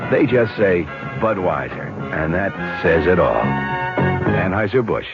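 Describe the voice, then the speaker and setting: A man's voice: deep voice, very animated, takes it slowly, vocal fry. A man reading a scripted radio advertisement as announcer, conversational and emphatic. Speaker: commercial announcer. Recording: dull old radio broadcast. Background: loud music.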